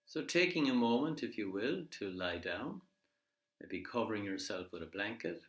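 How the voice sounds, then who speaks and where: A man leading a guided body scan meditation in a measured, gently instructive way, leaving pauses between phrases. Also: on the low side, animated. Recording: echoey room.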